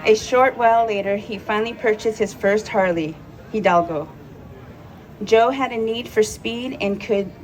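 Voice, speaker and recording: A woman reading a prepared tribute at a memorial in a measured way. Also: mid-range voice, a little mellow, a little hiss or hum, low-quality recording. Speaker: memorial speaker. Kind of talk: read tribute.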